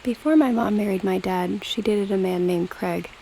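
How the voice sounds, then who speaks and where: A woman reading a true scary story aloud in a steady, low-key manner. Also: moderate pace, creaky, a little hiss or hum, poor audio. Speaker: narrator.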